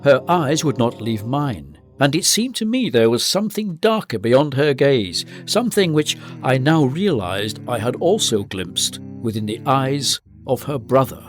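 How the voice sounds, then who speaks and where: An actor playing a grave gentleman narrator of a gothic tale, reading in a formal, literary, dramatic style. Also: mid-range voice, big pitch swings, a little slow, few pauses, soft background music. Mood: uneasy dread.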